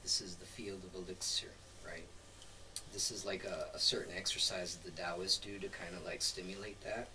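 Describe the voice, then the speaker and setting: Very deep-voiced man, distant and noisy. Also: flat delivery, normal speed, bright, forceful tone. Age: middle-aged. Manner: rambling, often adds "right?" and "so to speak". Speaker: spiritual teacher demonstrating a voice practice. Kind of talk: informal off-the-cuff explanation. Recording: distant mic, clearly noisy background.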